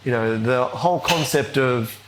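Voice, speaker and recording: A man's voice: mid-range voice, normal speed, noisy background. A middle-aged man from India, a host asking friendly, encouraging questions in a casual interview.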